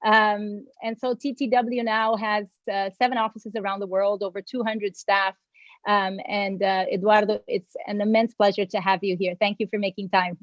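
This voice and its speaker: A woman's voice: mid-range voice, flat delivery, moderate pace, no echo. A woman who grew up in Mexico, moderating and opening a virtual panel with effusive, gracious thanks to her guests. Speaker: panel moderator and agency founder. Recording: dry, slightly dull video call audio.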